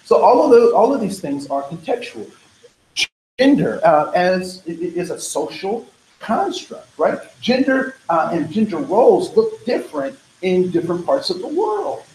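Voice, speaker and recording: A man with a fairly high voice leads a diversity workshop in a loose, conversational way. He wanders off on tangents, fills gaps with "um", and keeps checking in with "right?". The sound is echoey, with faint room noise behind him. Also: very animated, moderate pace, a little mellow. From United States. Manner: casual, digressive, frequent "right?" and "um". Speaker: diversity trainer. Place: training room.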